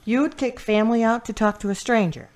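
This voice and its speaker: A woman's voice: fairly high pitch, a little fast, natural voice. A woman acting a protective older sister who pries and speaks sharply. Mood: prying. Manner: nosy, sharp-tongued. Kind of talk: amateur radio drama acting.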